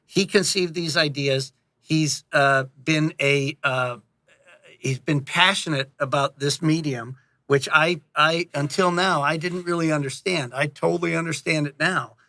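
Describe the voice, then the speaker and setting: A man with a slightly high, forward, bright voice speaks as a guest in a casual interview. He rambles and restarts with animated, joking energy. The sound is dry and free of room echo. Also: unhurried. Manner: animated, rambling, jokey, self-interrupting, acts out quotes; casually profane. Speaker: interview guest, audio drama director and producer.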